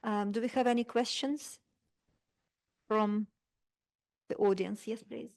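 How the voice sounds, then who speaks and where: A woman's voice: medium pitch, steady tone, takes it slowly, a little mellow. A woman moderating a conference panel, politely opening the floor to questions.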